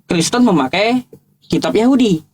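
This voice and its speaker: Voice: medium pitch, expressive, normal speed, darkish tone, slight background noise. A debater, speaking informally and insistently, challenging and repeating points in a religious argument. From Indonesia.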